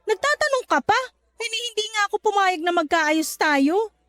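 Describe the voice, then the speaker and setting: A woman's voice: very high voice, animated, normal speed, fairly bright tone, dry recording, clean studio sound. A Filipino actress playing an irritable, hurt young mother in a Tagalog radio drama, answering in a curt, exasperated way. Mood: firm, exasperated. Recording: background music under the dialogue.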